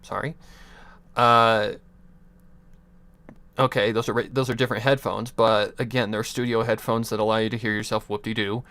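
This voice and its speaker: A man's voice: fairly low pitch, little pitch movement, moderate pace, long pauses, fairly bright tone, no room sound. Young man, casual livestream chatter, rambling and swearing, dry close sound. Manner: rambling, self-correcting, swears casually, chuckles. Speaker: streamer. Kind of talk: casual livestream chat giving mic advice. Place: home computer desk. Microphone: USB desk mic.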